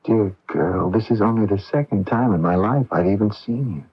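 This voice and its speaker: A kind young medical intern with a husky, lowish voice, gently evasive and halting as he soothes. It is 1980s radio drama, heard through a dull, lo-fi broadcast recording. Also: faint music behind. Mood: soothing, firm.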